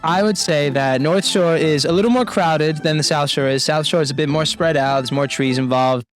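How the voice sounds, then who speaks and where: A man's voice: a little high, moderate pace, soft background music. A young man, speaking in an easygoing way in a documentary interview. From Staten Island, New York. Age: 20s. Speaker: local resident interviewee.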